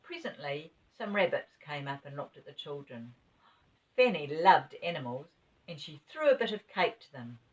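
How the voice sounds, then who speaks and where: A woman's voice: sits low, sing-song, normal speed, distant mic, poor audio. An older woman reading a children's storybook aloud as a grandmother would, expressively and with small asides to her listeners.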